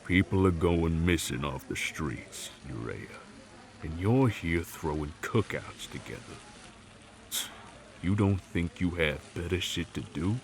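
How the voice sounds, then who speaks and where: A man playing a gruff, confrontational werewolf pack leader in an audio roleplay, scornful, deliberate and sarcastic. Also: sits low, lively intonation, full character voice. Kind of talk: audio roleplay drama acting.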